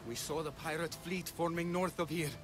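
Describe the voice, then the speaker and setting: An actor playing the blunt, sardonic, exasperated leader of a threatened village in ancient Greece, in a video game cutscene. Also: male voice, fairly high pitch, steady tone, normal speed, close to an everyday voice. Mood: urgent.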